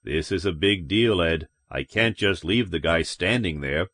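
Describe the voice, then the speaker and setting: Man playing a calm, dismissive store owner. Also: sits low, dry recording, pitched below the performer's own voice, exaggerated voice. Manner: unhurried, nonchalant.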